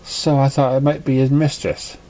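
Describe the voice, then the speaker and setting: A man reading a story aloud in a halting way, stumbling over words now and then. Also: medium pitch, level tone, warm tone, low-quality recording. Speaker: amateur fan fiction reader.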